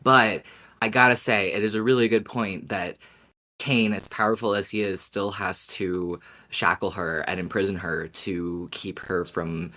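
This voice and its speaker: A man's voice: sits low, steady tone, unhurried, bright, forceful tone. A man co-hosting a fan podcast, talking through theories in a casual conversational style. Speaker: podcast co-host.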